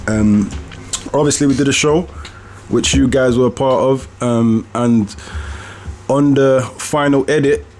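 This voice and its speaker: A man hosting a reflective podcast conversation, speaking in an earnest, deliberate way. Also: mid-range voice, a little mellow, a little hiss or hum. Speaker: podcast host and author.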